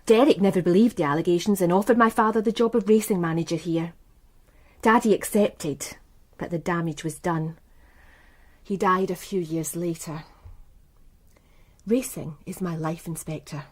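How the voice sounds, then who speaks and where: A woman acts out a proud, worldly English businesswoman for radio drama. She speaks in a lowish voice with composed, articulate phrasing. Her manner is coolly self-assured. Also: moderate pace, pauses often, a little mellow, lightly acted. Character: poised, proud, worldly businesswoman running a bookmaking empire. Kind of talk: British radio crime drama acting.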